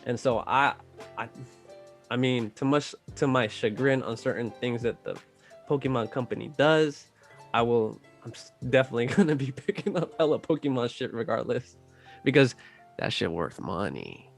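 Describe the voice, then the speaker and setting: A man's voice: mid-range voice, lively intonation, normal speed, creaky. A man chatting casually in loose slang, swearing freely as he gives his opinions. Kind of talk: casual chat about video game movies. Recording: music playing behind the voice. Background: music.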